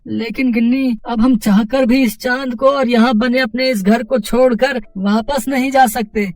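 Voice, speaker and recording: A man's voice: very high-pitched, fairly even intonation, measured pace, far from the mic, soft background music. A man voicing a poor, simple village husband, an eager and stubborn dreamer who stammers and complains, in a moral story. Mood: exasperated, worried.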